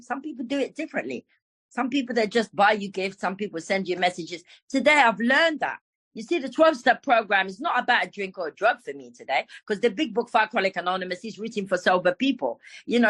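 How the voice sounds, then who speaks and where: A woman's voice: mid-range voice, fairly bright tone, dry recording. A middle-aged woman from Morocco, sharing her recovery story at a meeting in a frank, emphatic way and swearing freely. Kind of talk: personal recovery share.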